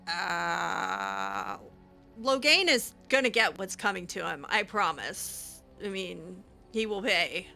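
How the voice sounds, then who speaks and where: A woman improvising in-character dialogue as she plays a roleplaying game, halting and repeating words. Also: lowish voice, very animated, vocal fry, bright, forceful tone, faint music behind.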